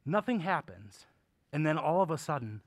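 An actor playing a courtly, whimsical old uncle who runs a curiosity shop in an audio drama, genial and theatrical, with old-fashioned manners. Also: male voice, on the high side, big pitch swings, moderate pace, heavy background noise.

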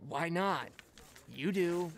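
An actor playing a goofy, laid-back young video store clerk who talks in casual slang in a comic audio drama. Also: male voice, high-pitched, slow, a little hiss or hum. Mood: defensive, casual. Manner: casual slangy, dude-and-bro talk. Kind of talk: comedic audio drama acting.

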